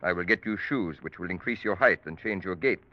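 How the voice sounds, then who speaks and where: An actor playing a cold, calculating crime-drama surgeon in 1940s radio style, speaking formally and precisely with unruffled menace. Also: male voice, deep voice, a little fast, lightly acted. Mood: clinical, insistent. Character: cold, calculating male surgeon extorting his criminal patients. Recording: muffled vintage radio drama recording.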